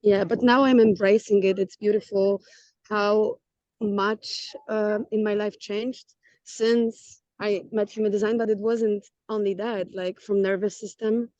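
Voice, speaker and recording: A woman's voice: medium pitch, steady tone, darkish tone, no echo. Polish-accented woman on a dull call line. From Poland. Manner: earnest, laughs often, halting restarts. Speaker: guest. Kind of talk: casual chat. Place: outdoors in a city.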